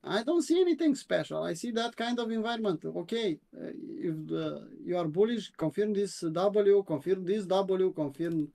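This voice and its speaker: A man from Romania with a Romanian accent, reviewing crypto charts on a live stream in a halting way, with many fillers and repeats. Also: high voice, animated, creaky, warm tone. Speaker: co-host, crypto chart analyst.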